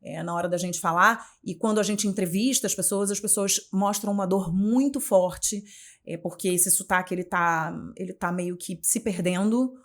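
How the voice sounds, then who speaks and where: A woman in her forties with a Carioca accent from Rio de Janeiro, talking as an interview guest. She talks in a chatty, expressive way, restarts her phrases often and keeps adding tag questions. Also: mid-range voice, moderate pace. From Rio de Janeiro, Brazil. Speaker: guest, journalist and communication mentor. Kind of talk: casual interview conversation.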